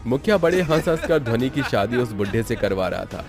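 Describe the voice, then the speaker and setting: A man's voice: medium pitch, expressive, a little fast, music in the background. A man narrating a scripted horror story for a cartoon.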